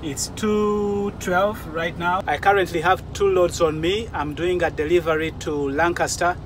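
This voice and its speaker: A man's voice: high-pitched, fairly even intonation, a little slow. A man, around his forties, talking casually and explaining things to viewers like a vlogging driver. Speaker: truck driver vlogger giving advice. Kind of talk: casual vlog talk to viewers. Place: mostly inside a truck cab, some outdoors. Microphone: phone or camera at arm's length. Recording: noisy handheld recording with vehicle ambience.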